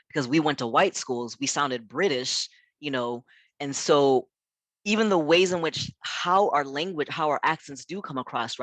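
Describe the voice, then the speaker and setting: A man's voice: medium pitch, a bit bright, no echo. Midwestern-accented man leading a chatty workshop. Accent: Midwestern American. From South Africa. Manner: chatty, peppered with "you know" and "right?"; earnest and emphatic. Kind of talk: conversational workshop presentation.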